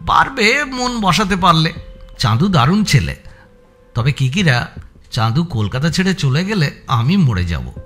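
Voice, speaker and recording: A man's voice: on the high side, sing-song, a little slow, a bit bright, faint music behind, heavily acted. A man playing an earnest, grumbling young sidekick in an audio drama, plaintive and candid. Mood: earnest, attached. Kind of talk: dramatised audio story acting.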